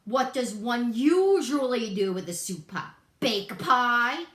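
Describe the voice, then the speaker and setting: A woman's voice: fairly high pitch, animated, slow pace, a bit bright, echoey room, voice pushed up. A woman voicing a grumpy, bossy male varmint creature who snarls out orders in a storybook. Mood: snarling.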